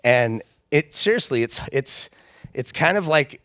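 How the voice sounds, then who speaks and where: A man with a raspy, nasal voice, co-hosting a charity gala with self-deprecating jokes. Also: medium pitch, expressive, unhurried, fairly bright tone, dry recording, clean studio sound. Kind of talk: banter-filled show hosting. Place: studio.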